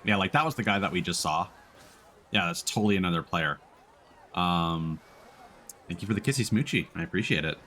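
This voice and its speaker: A man's voice: low voice, expressive, a little fast, pauses often, bright, forceful tone, slight background noise. A man doing casual live game commentary, musing and thinking aloud as he plays. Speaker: game streamer. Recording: faint background game ambience. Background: game ambience.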